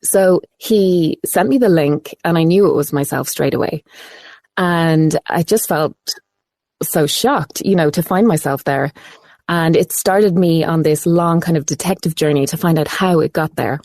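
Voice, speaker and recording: A woman's voice: low-pitched, measured pace, darkish tone, no echo, clean studio sound. A woman with an Irish accent, a voiceover artist, talking earnestly in an interview and searching for her words. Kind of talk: phone-in interview.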